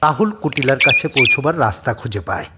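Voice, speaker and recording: A man's voice: medium pitch, sing-song, fairly quick. A man narrating a scripted suspense story as a dramatic audio storyteller. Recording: clearly noisy background.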